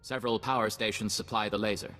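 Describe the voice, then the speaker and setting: A stern doctor in a sci-fi game. His lowish voice moves quickly and precisely with urgency, ringing with long reverb. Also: steady tone, pushed and bright, faint music behind. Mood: grim, urgent. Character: urgent, stern male doctor and weapons scientist.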